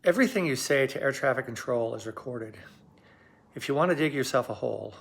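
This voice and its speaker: A man with a mid-range voice hosting an analysis. He speaks in a measured, explanatory way, pausing to put weight on his points. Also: mid-range voice, lively intonation, vocal fry. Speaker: host and aviation commentator. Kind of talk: explanatory commentary.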